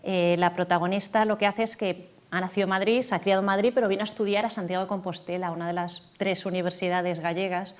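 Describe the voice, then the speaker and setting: A woman's voice: on the low side, level tone, fast talker, hardly any pauses. A woman from Galicia, an author being interviewed in a TV studio, talking with many fillers and restarts. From Galicia, Spain. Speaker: author guest.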